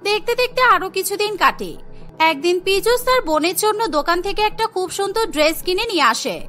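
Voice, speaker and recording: A woman tells a scripted cartoon story as narrator. Her voice is extremely high and sharp. Soft music runs underneath. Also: animated, a little fast.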